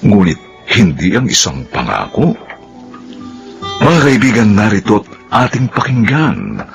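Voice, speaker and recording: A man's voice: fairly low pitch, big pitch swings, measured pace, creaky. A man announcing a radio drama and its credits in a dramatic, sweeping delivery. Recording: theme music under voice.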